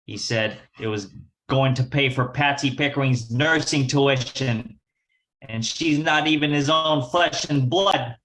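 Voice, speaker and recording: A man's voice: medium pitch, a little slow, a bit bright, natural voice. A man playing a scheming young gambler in a 1940s radio crime drama, slangy and wisecracking. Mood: pleading, cocky. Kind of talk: 1940s radio detective acting.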